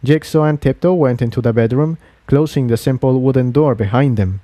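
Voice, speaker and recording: Mellow, dry-sounding male story narrator. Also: medium pitch, fairly quick, dry recording. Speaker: audiobook narrator.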